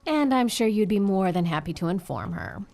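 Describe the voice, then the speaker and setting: A woman's voice: mid-range voice, expressive, moderate pace, creaky, a little mellow. A woman playing a sarcastic, spiteful prodigal daughter of a rich family in an audio drama. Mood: scornful. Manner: sarcastic, mocking, insolent.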